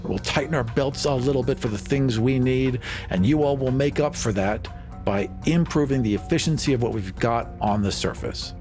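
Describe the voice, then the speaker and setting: A man's voice: mid-range voice, normal speed, music in the background, natural voice. A man playing a glib, persuasive colony boss in a tabletop game, assured and folksy like a salesman. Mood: reassuring. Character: glib, persuasive male colony boss making big promises. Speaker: player character in a tabletop game.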